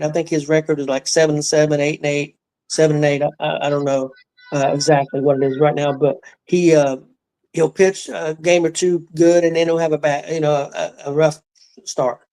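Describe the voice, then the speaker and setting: A man in his sixties co-hosting a casual sports talk show, speaking in a hesitant, halting way with many fillers. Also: on the high side, flat delivery, darkish tone. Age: 60s. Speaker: co-host.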